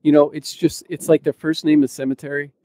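A man's voice: fairly high pitch, little pitch movement, brisk, mellow, dry recording. A man chatting casually and informally as a podcast co-host, close to a mic in a small room. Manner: informal, conversational. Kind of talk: casual chat. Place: small room at home, indoors. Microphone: close studio mic on boom arm.

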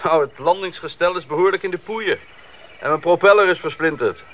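An actor playing a young, dutiful missionary bush pilot in a radio drama, heard over an aircraft radio. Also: male voice, a little high, fairly quick. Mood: matter-of-fact. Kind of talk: 1980s Dutch radio drama acting. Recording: muffled crackly radio link.

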